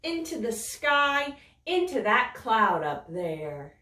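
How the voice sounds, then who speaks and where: Woman, children's storytime reader; slow, very animated sing-song delivery, slightly distant mic. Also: on the high side. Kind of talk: reading a picture book aloud to children. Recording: roomy echo, voice set back from the mic.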